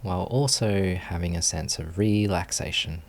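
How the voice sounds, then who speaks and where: A man leading a guided meditation as a teacher, speaking calmly and soothingly with long pauses. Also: low-pitched.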